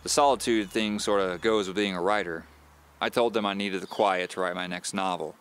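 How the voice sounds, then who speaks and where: Man playing a low-voiced, wry novelist. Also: steady tone, a little fast, fairly bright tone, clear, resonant tone. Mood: matter-of-fact. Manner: courteous, dryly humorous. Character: polite, wry male thriller novelist, new in the neighborhood. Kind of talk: audio drama first-person storytelling.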